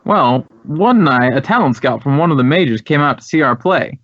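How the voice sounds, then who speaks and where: Earnest American drama school head, dull recording. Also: male voice, mid-range voice, lively intonation, normal speed, a bit bright, no echo. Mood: puzzled. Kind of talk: 1950s radio drama dialogue. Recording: dry, dull vintage radio recording.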